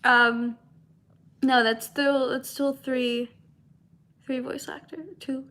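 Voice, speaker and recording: High-voiced young woman, slow rambling chat. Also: level tone, a bit bright. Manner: halting, rambling, with trailing thoughts and repeated words. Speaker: voice actor and comic dub creator chatting with viewers. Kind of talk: casual unscripted discussion.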